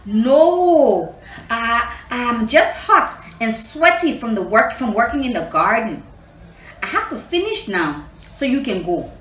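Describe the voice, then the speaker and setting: A woman's voice: fairly high pitch, big pitch swings, takes it slowly, a bit bright, reverberant, recorded from a distance, heavily acted. A woman voicing a greedy male trickster spider from a children's storybook, flustered and defensive. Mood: flustered, evasive. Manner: exclaiming, defensive, evasive.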